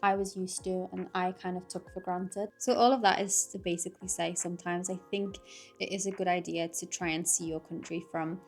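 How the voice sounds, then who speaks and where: A woman's voice: lowish voice, steady tone, fairly quick, soft background music. Chatty young Liverpool woman vlogging. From Liverpool, England. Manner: chatty, lots of "like", frequent laughs. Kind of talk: casual chat to camera.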